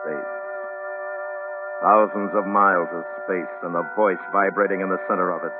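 A reflective spaceman, played by a man narrates his doom in old radio style, brooding and poetic. The lowish voice is thin and tinny, with loud music behind it. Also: steady tone, moderate pace, natural voice. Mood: brooding, resigned. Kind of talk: dramatic first-person radio narration, mid-century.

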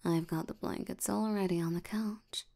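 A woman voicing a tired, languid sloth demon in an intimate ASMR roleplay, soft and often nearly whispering, with a drowsy deadpan delivery. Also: fairly low pitch, moderate pace. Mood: drowsy, affectionate. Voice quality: soft, creaky, often breathy near-whisper.

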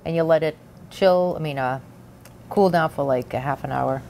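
Woman in her sixties, low-pitched, casual cooking host talking through recipes, slight background noise. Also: moderate pace, a little mellow. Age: 60s. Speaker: cooking show host and home cook. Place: large indoor demonstration kitchen.

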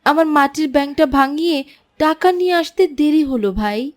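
A woman's voice: high voice, normal speed, natural voice. A woman voicing a polite, keen young schoolboy in a children's moral cartoon. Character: polite, keen young schoolboy who saves his pocket money.

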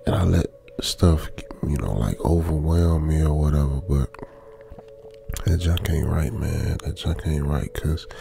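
A man playing a lonesome, uneasy young trucker, muttering colloquially with pauses in an ASMR radio drama. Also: extremely low pitch, gravelly, over music, heavily acted. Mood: remorseful, tender. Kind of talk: ASMR old-school radio drama monologue.

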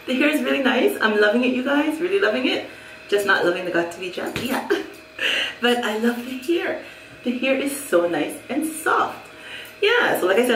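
A woman's voice: medium pitch, recorded from a distance, slight background noise. A middle-aged Jamaican woman reviewing a wig in a casual, chatty way, laughing often and repeating herself. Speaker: hair vlogger. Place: small room at home, indoors. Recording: echoey room, a little off mic.

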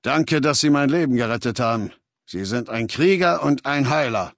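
An actor playing a commanding, ruthless middle-aged warlord in a video game, authoritative and deliberate, with a gravelly, husky voice. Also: male voice, medium pitch, expressive, no echo, clean studio sound, close to an everyday voice. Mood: cool, commanding. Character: commanding, ruthless male warlord, leader of a legion. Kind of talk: dramatic video game voice acting, German dub. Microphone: close, dry studio recording.

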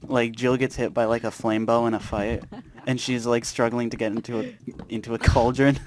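A man's voice: mid-range voice, flat delivery, moderate pace, darkish tone. A man chatting casually with teammates during a game. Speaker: gamer in a team match. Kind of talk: casual gaming chat. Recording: faintly noisy mic with clicks.

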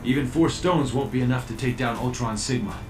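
An actor playing a defiant, determined warrior in a video game, with a terse, resolute delivery. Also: male voice, medium pitch, fairly quick, close to an everyday voice. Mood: grim resolve. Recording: roomy, distant, music behind.

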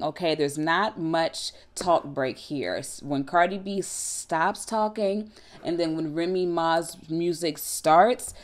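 A woman in her thirties giving a casual, conversational tutorial with colloquial, animated delivery into a close desktop mic. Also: deep voice. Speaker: radio personality giving a production tutorial. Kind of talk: casual instructional talk. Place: small room at home, indoors. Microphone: desktop USB condenser mic, close.

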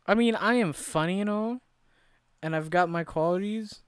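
A man's voice: high-pitched, normal speed, clean tone, dry recording, clean studio sound. A young man streaming a game at home on a headset, joking around, swearing and laughing with a friend. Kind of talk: casual gaming stream banter.

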